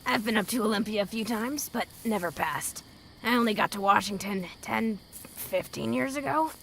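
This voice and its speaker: A woman playing a centuries-old female ghoul, a gruff, jaded wasteland guide with a creaky voice and a blunt, dry manner, in an audio drama. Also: medium pitch, normal speed, fairly bright tone, low-quality recording. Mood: wry. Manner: blunt, dry, world-weary.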